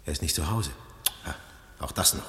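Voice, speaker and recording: An actor playing an earnest, defiant undercover police detective, courteous and dramatically emphatic, in a German radio play. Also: male voice, rumbling low, normal speed, fairly bright tone, breathy edge, full character voice. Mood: hushed, tense.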